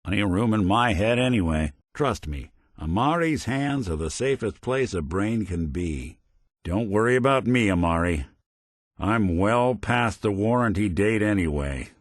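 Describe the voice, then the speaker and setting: A man's voice: on the low side, expressive, unhurried, no echo, studio quality. A male actor playing a weary old android detective in a video game, talking in wry, slangy, hard-boiled noir style. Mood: reassuring.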